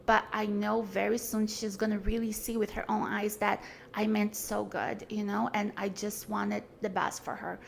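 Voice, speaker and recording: A woman's voice: medium pitch, little pitch movement, normal speed, creaky, few pauses. A woman, talking earnestly and frankly as a podcast guest, with many fillers and restarts. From Brazil. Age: 40s. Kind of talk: casual conversation.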